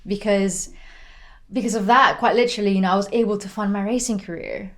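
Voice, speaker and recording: Chatty young woman of twenty as a guest, many fillers, Tagalog speaker, mid-pitched voice. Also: a little fast. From Philippines. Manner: chatty, many "like" and "you know" fillers, laughs often. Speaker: podcast guest. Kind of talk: casual interview chat.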